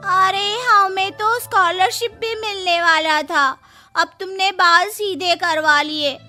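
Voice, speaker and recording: A woman voicing bratty, excitable young college girls in an animated story, with exaggerated theatrical delivery. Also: very high-pitched, takes it slowly, soft background music, heavily acted. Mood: scornful. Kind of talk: Hindi animated story character acting.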